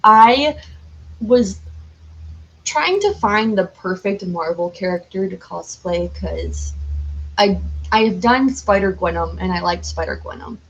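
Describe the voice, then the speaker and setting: A woman's voice: medium pitch, animated, slow pace, a little hiss or hum, rough recording. Enthusiastic woman chatting casually. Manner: enthusiastic, informal. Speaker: podcast guest, cosplayer. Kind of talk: casual chat.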